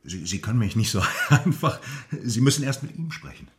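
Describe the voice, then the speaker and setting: A man playing a meek, frightened screenwriter in a German radio drama, halting and stammering. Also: medium pitch, sing-song, close to an everyday voice. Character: frightened male screenwriter being arrested, meek.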